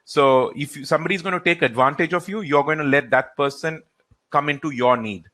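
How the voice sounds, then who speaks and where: A man from India, a trainer answering audience questions in a live session, relaxed and halting with frequent fillers. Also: fairly high pitch. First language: Hindi or Gujarati.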